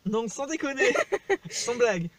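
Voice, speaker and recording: A man's voice: extremely high pitch, lively intonation, unhurried, distant mic, a crowd in the background, low-quality recording. A man chatting casually and informally, often repeating his words as he goes. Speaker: vlogger's boyfriend. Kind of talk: casual chat.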